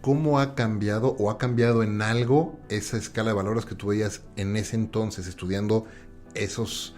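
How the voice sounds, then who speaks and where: A Mexican-accented man with a lowish voice interviews as a podcast host. His questions are long and reflective, phrased conversationally. Light music plays in the background. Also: fairly even intonation, moderate pace, a little mellow.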